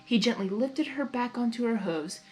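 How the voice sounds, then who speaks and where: Expressive high-voiced woman reading a story over music. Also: normal speed. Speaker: fan fiction reader. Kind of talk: expressive story narration with spontaneous asides.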